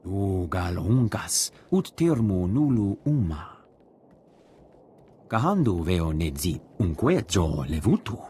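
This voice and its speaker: An actor playing an emphatic, expressive male alien in a video game, talking in an invented tongue. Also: deep voice, slow, slight background noise, exaggerated voice. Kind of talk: video game cutscene acting.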